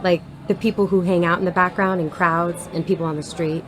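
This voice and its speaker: Lowish-voiced, patient young woman actress. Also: fairly even intonation, fairly quick, close to an everyday voice, poor audio. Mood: friendly, chatty. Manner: reassuring, matter-of-fact, lightly wry. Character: helpful, patient, plain-spoken young female aspiring actor. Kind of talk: naturalistic audio drama dialogue.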